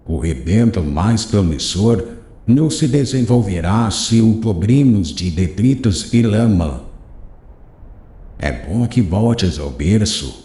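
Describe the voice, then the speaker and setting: A venerable old teacher in a spoken audiobook, deep-voiced and warm-toned. His counsel rises and falls with lively pitch, slow and solemn. The room around him rings with echo. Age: older. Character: wise, gentle elderly male master. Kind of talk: audiobook dialogue reading.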